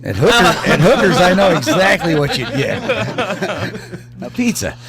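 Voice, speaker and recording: A man's voice: sits high, very animated, a little slow. A man hosting a podcast who jokes and teases his guests, laughing a lot in casual chat. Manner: wisecracking, laughs a lot, teasing. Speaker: podcast host.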